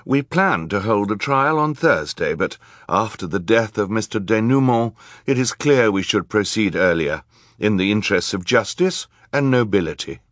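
A man's voice: fairly low pitch, animated, normal speed, a bit bright, no room sound, studio quality, voice pushed up. A man voicing a stern, authoritative woman judge with a formal, commanding manner in an audiobook. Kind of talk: audiobook character acting.

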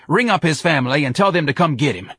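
A man voicing a loud, exasperated police sergeant who scolds a junior officer. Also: fairly high pitch, lively intonation, fast talker, slightly rough, no room sound, studio quality, pitched above the performer's own voice, exaggerated voice. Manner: yelling, scolding.

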